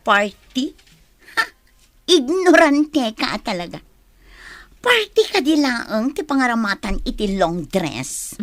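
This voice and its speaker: An actress playing a vain, easily annoyed young office worker in a radio drama, with a haughty, whiny delivery. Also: female voice, on the high side, sing-song, slow, pauses often, a bit bright, no room sound, faint music behind, full character voice.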